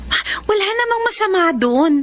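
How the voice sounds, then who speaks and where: High-pitched, emphatic young Filipina vendor. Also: female voice, very animated, moderate pace, fairly bright tone, full character voice. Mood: proud, pointed. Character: hardworking, outspoken young woman vendor, bossy elder sister, proud friend. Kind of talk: Filipino radio drama acting.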